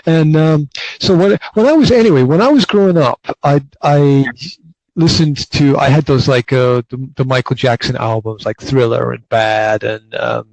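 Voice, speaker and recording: A man's voice: mid-range voice, normal speed, creaky, a little mellow, dry recording, low-quality recording. A man in his forties hosting a casual interview, talking in bursts with many repeated words and restarts. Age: 40s. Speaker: podcast host.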